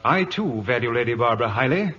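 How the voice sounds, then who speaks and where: An actor playing a pompous, condescending British admiral in an old-time radio drama, insinuating under silky politeness. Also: male voice, mid-range voice, animated, a little fast, pushed and bright, breathy edge. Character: haughty, insinuating British admiral, smugly proprietary. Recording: dull, muffled vintage radio recording.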